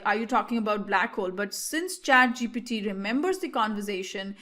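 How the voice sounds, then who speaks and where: Woman, native Hindi speaker, slightly high voice, explanatory tutorial host with filler-heavy delivery. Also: a bit bright. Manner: explanatory, with frequent fillers and restarts. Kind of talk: screen-share software tutorial.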